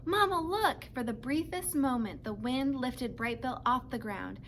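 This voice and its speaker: A woman's voice: fairly high pitch, animated, measured pace, a little hiss or hum. A woman narrating a children's book as a read-aloud, telling the story to young listeners. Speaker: storybook reader.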